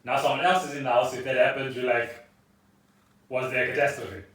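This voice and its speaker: The room rings heavily around this man, who sits some way from the mic. He has a South African accent and a mid-pitched voice. He chats casually, as a co-host at home. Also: steady tone, a little fast, a bit bright. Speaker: podcast co-host. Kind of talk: casual chat. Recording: echoey room, far from the mic.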